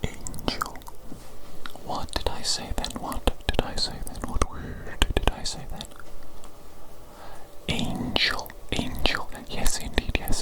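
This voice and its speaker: A woman whispering an ASMR medical roleplay as a fussy doctor, softly repeating her phrases in a breathy, unvoiced voice. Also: low-quality recording. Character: fussy evil doctor examining a creature. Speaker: ASMR performer playing a doctor.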